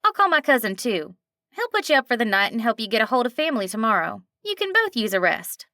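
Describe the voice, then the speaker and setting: A woman's voice: fairly high pitch, sing-song, fast, sharp tone, no room sound, clean studio sound, pitched above the performer's own voice, exaggerated voice. A woman reading aloud, voicing a friendly, tired woman cashier in her forties with a slight nasal twang and a folksy, reassuring manner. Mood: kindly reassuring. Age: 40s.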